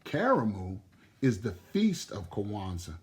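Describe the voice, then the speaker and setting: Slow, deliberate male storyteller. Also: on the low side. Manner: deliberate, expressive. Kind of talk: storybook narration and explanation.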